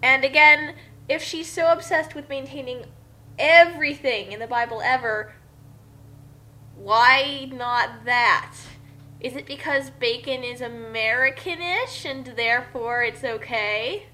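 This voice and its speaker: A woman's voice: high voice, a little slow, bright, forceful tone. A woman giving casual commentary, explaining and arguing her points.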